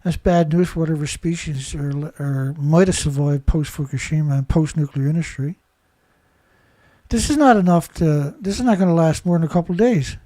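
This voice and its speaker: A stuffed-up man runs his own news commentary, talking in an indignant, rambling way and firing off rhetorical questions. His voice sits fairly high for a man. The sound is close and dry, with no room around it. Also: moderate pace, dark tone, no room sound. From Canada. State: stuffed-up nose. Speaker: host.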